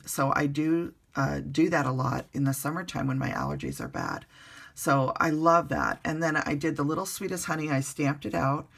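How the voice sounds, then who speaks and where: A woman recovering from the flu, her voice hoarse, chatting casually through a card-making tutorial at a desk in her bedroom with lots of ums and uhs. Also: sits low. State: hoarse, getting over the flu.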